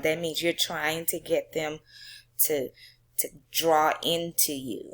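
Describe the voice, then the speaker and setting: A woman teacher explaining a poem to her students, often checking in with "okay?" and "right?". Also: sits low. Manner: reads the poem slowly with drawn-out emphasis. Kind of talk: poetry reading and classroom lesson.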